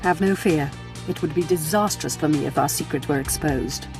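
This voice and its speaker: A woman's voice: low-pitched, normal speed, over music. A woman voicing a scheming, power-hungry Sith noblewoman in a video game, formal, silky and imperious. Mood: satisfied, imperious.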